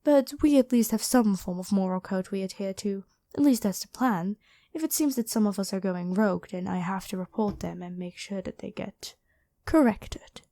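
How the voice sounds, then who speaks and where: A woman playing a gentle, dutiful angel medic in an ASMR roleplay, speaking soothingly and caringly to one listener. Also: mid-range voice, moderate pace, few pauses, lightly acted. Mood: earnest, disapproving.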